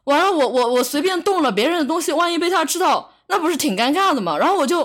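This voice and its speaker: A woman chatting casually on a podcast, explaining herself haltingly with repeated words. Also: sits high, expressive. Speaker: podcast speaker. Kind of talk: casual chat.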